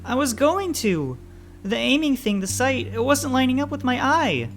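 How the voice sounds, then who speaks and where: A man voicing an angry, defiant young animal boy in a dramatic story, yelling and tearful with a cracking voice. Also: extremely high pitch, sing-song, moderate pace, voice pushed up, full character voice. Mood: defensive. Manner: foul-mouthed, yelling, voice cracking.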